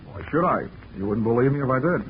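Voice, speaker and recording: An actor playing a dry, wisecracking insurance investigator who also narrates, in a 1940s radio drama. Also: male voice, mid-range voice, expressive, fast, a little hiss or hum, lightly acted. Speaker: narrator and lead detective. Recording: tinny old radio recording with music.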